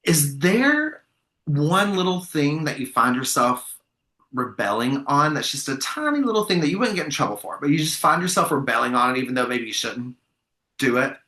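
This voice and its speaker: Man, podcast host, enthusiastic, chatty, bright tone, echoey room. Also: on the high side, sing-song, moderate pace. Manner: enthusiastic, chatty, rambling with restarts. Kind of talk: casual interview chat.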